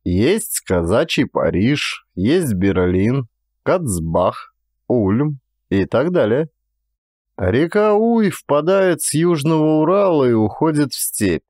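A man narrates classic prose like a stage actor, in a measured, expressive way. His mid-pitched voice swings widely in intonation. The sound is dry and close, with no room echo. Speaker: actor reading an audiobook. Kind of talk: dramatic literary audiobook narration.

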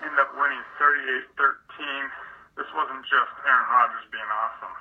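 A man's voice: mid-range voice, fairly even intonation, moderate pace. American man on a muffled voicemail. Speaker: fan leaving a voicemail. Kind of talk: casual sports talk. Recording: muffled, distant telephone voicemail.

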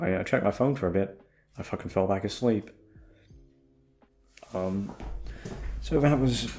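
Low-voiced young man rambling over music. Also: a little mellow. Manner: hesitant, sweary, self-deprecating, repeats himself. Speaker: audio roleplay voice actor. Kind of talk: rambling casual chat to listeners.

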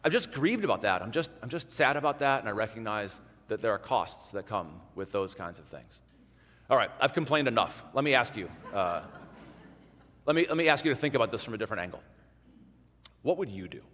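A man's voice: fairly low pitch, fairly quick. Man preaching in a reverberant sanctuary. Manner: conversational, animated, drops to hushed emphasis. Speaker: pastor. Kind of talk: sermon. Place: large church sanctuary in front of an altar. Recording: long church reverb.

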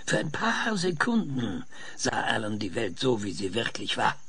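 An actor playing an older, insistent professor with a raspy voice in a German radio drama, explaining things emphatically and dramatically. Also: male voice, mid-range voice, huge pitch swings, normal speed, crowd noise. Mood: musing, insistent. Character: frail, excitable old male professor, insistent and visionary. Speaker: professor being interviewed.

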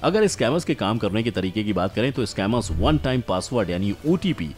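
A man's voice: on the low side, big pitch swings, fairly quick, darkish tone. A man from India reading a scripted explainer as narrator, speaking emphatically and straight to the listener. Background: background music.

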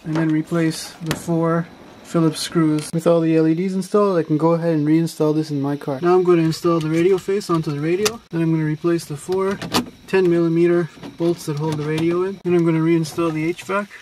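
A man calmly narrating a step-by-step electronics repair tutorial in a plain, methodical way. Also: fairly high pitch, brisk, slight background noise. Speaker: car electronics tutorial maker.

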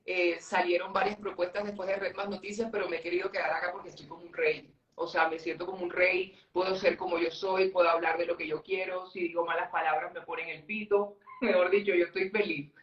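A man's voice: sits high, level tone, a little fast, few pauses, a bit bright, distant mic, lo-fi sound. A middle-aged man with a Colombian accent, chatting easily as a guest on a live video call and laughing often. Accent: Colombian Spanish. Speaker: guest presenter. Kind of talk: casual live interview. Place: home office with cabinets. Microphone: webcam on video call. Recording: roomy sound from the mic.

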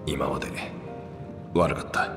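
An actor playing a proud, anguished reptilian warrior with rough, boastful speech in a video game. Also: male voice, a little slow, natural voice. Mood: subdued, resolute. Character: proud two-headed reptilian warrior man, anguished and remorseful. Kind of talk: dramatic video game voice acting. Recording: echoey long reverb under music.

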